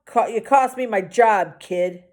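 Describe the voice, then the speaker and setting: A woman's voice: mid-range voice, unhurried, a little mellow, lightly acted. A woman voicing a drunk, bitter and aggressive male security guard in a children's novel reading. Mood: bitter, angry. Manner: slurred, hollering.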